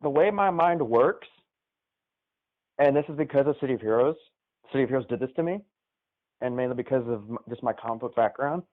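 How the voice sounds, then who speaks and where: A man's voice: mid-range voice, lively intonation, brisk, long pauses. A man calling into a gaming livestream, chatting and reminiscing casually over a live call. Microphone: live call into the stream. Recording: tinny, band-limited phone-like call.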